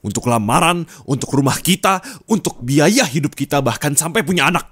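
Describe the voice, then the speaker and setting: A young man speaking an ASMR roleplay monologue as a disappointed boyfriend, low and intimate, scolding and at times rising to anger. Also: expressive, a little fast, fairly bright tone, husky, no echo, studio quality.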